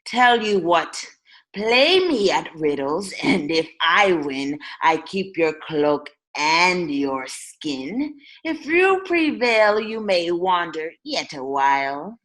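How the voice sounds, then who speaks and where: Slow, whimsical goblin played by a woman. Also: lowish voice, very animated, a bit bright, full character voice. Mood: teasing. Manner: sing-song baby-talk rhymes. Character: mischievous green goblin gardener, rhyming and whimsical. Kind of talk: comic radio play acting.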